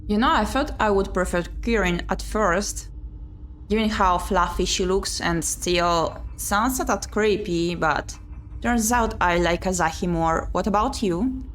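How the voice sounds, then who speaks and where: A woman's voice: mid-range voice, measured pace. Woman narrating a game, noisy recording. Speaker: streamer reading a visual novel aloud. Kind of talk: casual playthrough commentary and narration. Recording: clear background noise.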